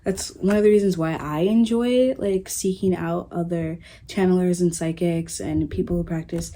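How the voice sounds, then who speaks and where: A young woman giving a casual psychic reading to her viewers, musing and dreamy, with soft giggles. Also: on the low side, steady tone, moderate pace. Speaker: psychic reader channeling messages. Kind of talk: casual spiritual reading to camera.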